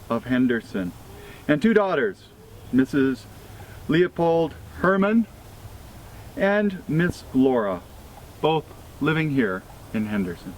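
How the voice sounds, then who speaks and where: American male, somewhat higher-pitched, formal memorial reader, measured and solemn, recorded outdoors with clear background noise. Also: low-quality recording. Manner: deliberate, solemn, phrase-by-phrase delivery. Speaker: freemason reader at a memorial event. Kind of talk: formal read-aloud obituary tribute. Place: outdoors at a cemetery event.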